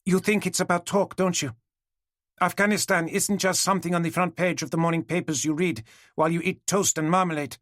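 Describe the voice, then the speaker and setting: A man's voice: fairly even intonation, brisk, no echo, studio quality. A man voicing a petite young woman heckler who calls out shrilly and defiantly. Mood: intense. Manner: shrill, yelling accusations.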